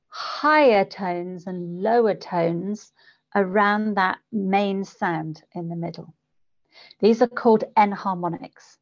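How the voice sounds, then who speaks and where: A slightly dull, lo-fi recording carries a woman's voice at a middling pitch. She explains things like a coach being interviewed, deliberate and instructive in her delivery. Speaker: guest voice coach for public speakers. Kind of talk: explanatory interview talk with demonstrations.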